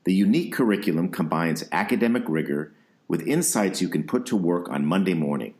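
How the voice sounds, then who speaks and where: A male podcast host with a very deep voice. He reads the sponsor copy smoothly, then asks questions in an easy conversational way. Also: lively intonation, brisk, a little mellow. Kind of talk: interview podcast.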